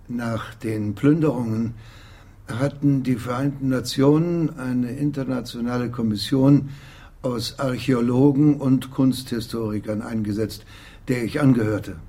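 An actor playing a wary, bitter archaeology professor in a radio drama, husky, measured and formal. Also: male voice, mid-range voice, steady tone, mellow, natural voice.

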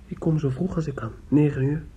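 A man's voice: on the high side, fairly even intonation, a little fast, mellow, lightly acted. An actor playing an anxious, tender young man in a radio drama, with an earnest, hesitant delivery. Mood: tender, worried. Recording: dull, noisy old radio drama recording.

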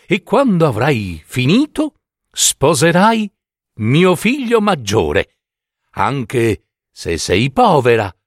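Guy as bossy fairy tale queen, imperious, slow n measured, big pitch swings. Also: no room sound, clean studio sound, full character voice. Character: commanding queen, demanding future mother-in-law.

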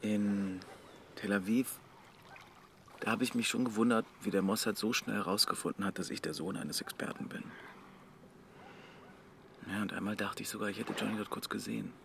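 A man's voice: on the low side, fairly even intonation, moderate pace, gravelly, pauses often, background noise. An actor playing a hesitant young man in a radio drama, stammering as he tries to keep the peace. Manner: stammering, placating. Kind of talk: naturalistic radio drama acting.

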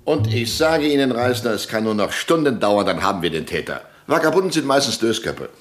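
A cocksure police commissioner in a radio crime drama. His voice sits fairly low and swings widely in pitch. He talks emphatically and with condescension, brushing off any doubt. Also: very animated, a little fast, soft background music. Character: cocksure, dismissive male small-town police commissioner. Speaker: actor in a radio crime play.

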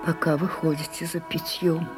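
An older woman playing a proud, weary old French stage actress in a radio drama, declaiming broodingly and dropping into breathy whispers. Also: deep voice, little pitch movement, moderate pace, loud music behind the voice, close to an everyday voice. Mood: weary, musing. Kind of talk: theatrical radio drama acting.

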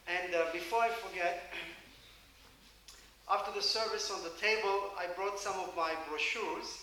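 Bright high-voiced Hebrew-speaking preacher. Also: male voice, fairly even intonation, far from the mic, slight background noise. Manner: speaks in short phrases, pausing for translation. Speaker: guest preacher. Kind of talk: sermon in English with live interpreter. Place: church. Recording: echoey church hall, mic heard from afar.

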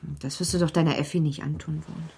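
Young aristocratic wife, radio drama: lowish husky voice, genteel, evasive, earnestly pleading her case. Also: fairly even intonation, fast, mellow, heavily acted. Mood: insistent, pleading. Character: young baron's wife, polite, evasive and quietly insistent. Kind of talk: German literary radio drama acting.

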